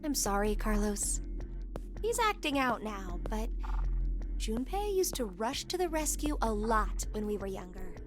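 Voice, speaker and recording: An actress voicing an earnest young woman in a video game, admiring and quick to chide. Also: medium pitch, big pitch swings. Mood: reproachful. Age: young adult. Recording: game audio with music behind.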